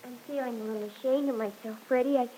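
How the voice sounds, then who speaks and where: A woman's voice: a little high, fairly even intonation, fast talker, warm tone, breathy edge, low-quality recording. An actress playing a sweet, earnest young girlfriend, girlish in manner, in an old-time radio serial. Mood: apologetic. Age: young adult.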